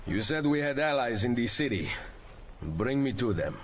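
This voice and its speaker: A man's voice: medium pitch, big pitch swings, moderate pace, a little hiss or hum, natural voice. A man with an Italian accent playing a confident, suave assassin leader in a video game cutscene. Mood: determined. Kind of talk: dramatic game cutscene acting. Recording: echoey reverberant game audio with music.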